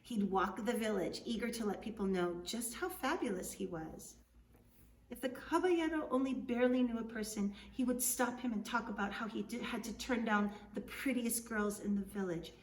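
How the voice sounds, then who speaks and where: A woman's voice: fairly high pitch, moderate pace. Woman narrating a story in an echoey room. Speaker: author reading their book. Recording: roomy, distant mic, faint noise.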